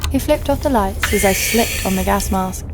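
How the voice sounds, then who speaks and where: A woman's voice: mid-range voice, normal speed, music in the background, natural voice. A woman playing a brash, scheming young con artist, narrating and talking in an audio drama with a sardonic, crude edge. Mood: sardonic, sly. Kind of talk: first-person narration and dialogue in audio drama.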